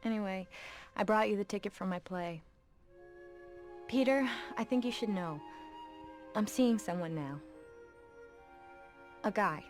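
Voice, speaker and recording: A woman's voice: mid-range voice, a little slow, pauses often, a little mellow, faint music behind, close to an everyday voice. A young woman playing an earnest, let-down actress friend in a video game. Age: young adult. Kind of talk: video game cutscene acting.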